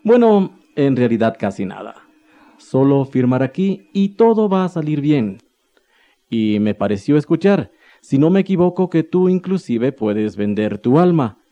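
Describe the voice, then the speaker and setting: A man's voice: medium pitch, big pitch swings, measured pace, mellow, highs cut off. A sly, cackling devil played by a man. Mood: gleeful. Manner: wheedling, theatrical, with sinister laughs. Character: sly smooth-talking male devil tempter. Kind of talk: radio drama acting.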